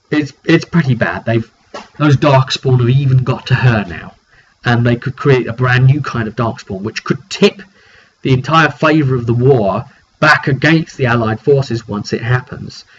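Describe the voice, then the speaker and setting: A dull, lo-fi recording with clear noise carries a man of middling pitch. He speaks as a game master recapping his campaign informally, leaning on key phrases and pausing for drama. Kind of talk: informal video recap of a roleplaying session.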